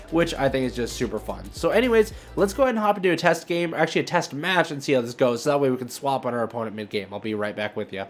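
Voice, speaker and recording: A young man hosting a gaming video, giving casual, rambling commentary as he thinks aloud. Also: medium pitch. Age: young adult.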